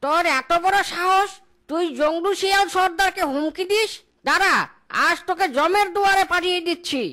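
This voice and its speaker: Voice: very high-pitched, normal speed. A performer voicing a foul-mouthed, blustering male fox chieftain, a cartoon villain. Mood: menacing. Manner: blustering, threatening, swearing. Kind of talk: cartoon character acting. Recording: loud music behind the voice.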